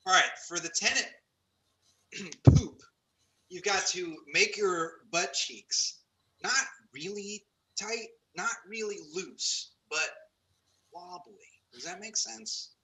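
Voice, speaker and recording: A man's voice: high-pitched, slow pace, pushed and bright, slight background noise, full character voice, poor audio. A man playing a smug, self-pitying Hollywood actor in a comedy table read. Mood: earnest instructing.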